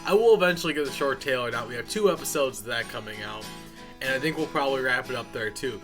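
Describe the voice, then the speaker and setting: Bright-voiced man, informal vlogger. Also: medium pitch, fast, soft background music. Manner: informal, folksy, says y'all. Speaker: YouTube channel host. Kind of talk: casual unscripted channel update to viewers.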